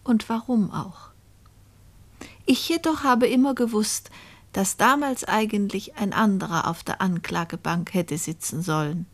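Slow, confiding woman narrator. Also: fairly low pitch. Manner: deliberate, confiding, measured. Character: reflective woman narrator recalling an old murder case. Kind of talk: German radio play narration.

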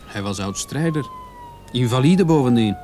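A man's voice: medium pitch, expressive, moderate pace, dark tone, a little hiss or hum, lightly acted. An actor playing an insistent, suspicious younger policeman who defers to his superior in a Dutch radio play.

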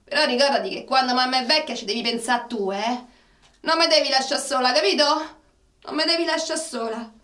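A woman's voice: sits high, a little fast, bright, forceful tone. An actress playing a clingy, fragile, doting mother with a Roman accent in a radio drama. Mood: pleading, needy. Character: clingy doting mother, emotional and fragile, talking to her son. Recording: roomy, distant microphone.

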